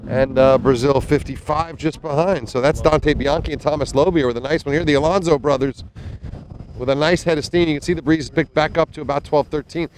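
A man's voice: on the high side, brisk, darkish tone, slight background noise, rough recording. A man in his thirties giving chatty, enthusiastic live commentary on a sailing race from a small motorboat, speaking into a handheld mic with a windscreen. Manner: chatty, enthusiastic, many fillers and restarts. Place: small motorboat on open water, outdoors. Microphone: handheld mic with fuzzy windscreen.

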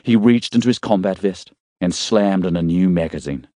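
A man's voice: sits low, normal speed, mellow, dry recording, clean studio sound. A man reading scripted fiction as a dramatic audiobook narrator.

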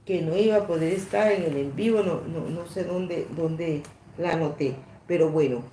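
Live-stream host: woman in her sixties, fairly low voice, halting chatty delivery, roomy sound. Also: mellow. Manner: rambling, repetitive, halting. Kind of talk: casual live-stream chat, reading out comments. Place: small indoor room at a table. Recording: echoey room, some background noise.

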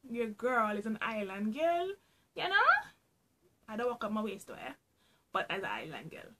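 A woman's voice: medium pitch, big pitch swings, moderate pace, very noisy. A young woman from Trinidad and Tobago with a Trinidadian accent, chatting playfully and laughing often as she answers get-to-know-me questions for her vlog in a small room at home. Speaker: vlogger.

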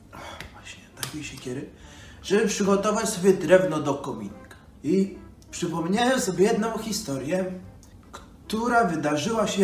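Man playing a rambling, animated old storyteller. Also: high-pitched. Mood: reminiscing. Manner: folksy, hesitant, with sighs and filler phrases. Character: rambling old storyteller, once a prophet's pupil, nostalgic. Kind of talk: in-character storytelling for children. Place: living room by a fireplace. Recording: roomy, distant microphone, music behind.

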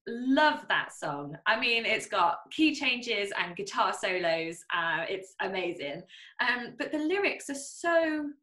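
A woman's voice: mid-range voice, big pitch swings, slow pace, pushed and bright. A young woman hosting a podcast, chatty, with many ums and asks for agreement. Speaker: podcast host. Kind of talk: casual interview chat. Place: small home studio. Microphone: studio condenser mic. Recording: roomy echo.

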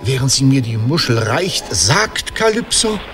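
An actor playing a sentimental aging Greek hero in a radio play, with a hesitant, poetic, declamatory delivery. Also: male voice, medium pitch, big pitch swings, measured pace, a bit bright, faint music behind. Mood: rapt, wistful. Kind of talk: theatrical German radio drama acting.

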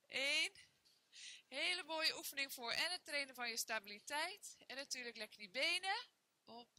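A woman's voice: high-pitched, sharp tone. A young woman leading a workout class, counting reps and cheering on her students while she exercises along in a bare indoor room. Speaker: fitness trainer. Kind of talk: guided workout instruction.

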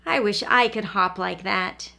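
A woman's voice: mid-range voice, measured pace, creaky, bright, forceful tone, voice pushed down. A woman voicing a small, eager young male hare in a storybook read aloud to children.

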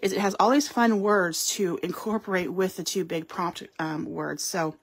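A woman's voice: fairly low pitch, fairly even intonation, normal speed, breathy edge. A woman giving a live card-making demonstration, chatting and explaining as she works, with frequent ums. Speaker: craft store demonstrator. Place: craft table at home or in a studio.

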